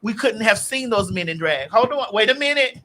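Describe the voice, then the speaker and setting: A young adult man hosting a raunchy casual chat, loud, brash and theatrical, sometimes breaking into song. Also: high-pitched, lively intonation, moderate pace, noisy background. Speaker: podcast host.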